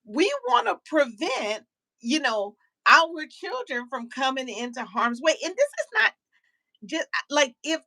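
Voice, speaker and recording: A woman hosting a casual panel talk show, animated and preachy, often repeating words as she starts a sentence. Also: sits high, no room sound, low-quality recording.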